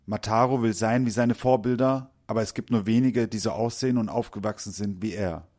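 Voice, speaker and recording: A man reading a scripted narration for a culture report in a measured, even way. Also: on the low side.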